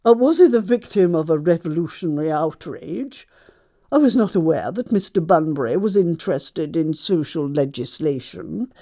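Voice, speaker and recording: An actress playing a haughty, imperious older aristocratic aunt in a stage comedy, pompous and deliberate. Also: female voice, low-pitched, expressive, warm tone. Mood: incredulous, disdainful. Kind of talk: theatrical stage comedy acting.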